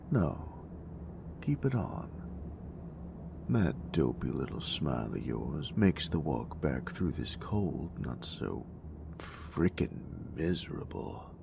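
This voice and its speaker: A man playing a gruff young bully turned affectionate boyfriend in an intimate roleplay audio drama, slangy and teasing, halting when sincere. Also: a bass voice, big pitch swings, background noise, exaggerated voice. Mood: teasing, fond. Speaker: voice actor.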